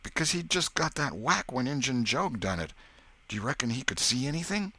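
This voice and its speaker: A man's voice: mid-range voice, very animated, a little fast, bright, forceful tone, breathy edge, no room sound, voice pushed up, full character voice. A man voicing an anxious, thoughtful young village boy in an audiobook, asking questions in urgent rustic speech. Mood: urgent, puzzled.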